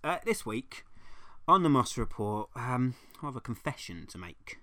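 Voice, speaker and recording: A man's voice: mid-range voice, normal speed, no echo. Guy deadpanning through a comedy podcast bit, dry close mic. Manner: wry, deadpan, sarcastic delivery. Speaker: podcast host. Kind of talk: scripted comedic segment reading.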